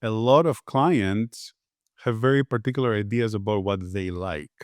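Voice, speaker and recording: A man from Greece, a podcast guest, giving relaxed conversational answers with frequent fillers and restarts. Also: fairly low pitch, normal speed, dry recording, studio quality. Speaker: podcast guest, agency founder.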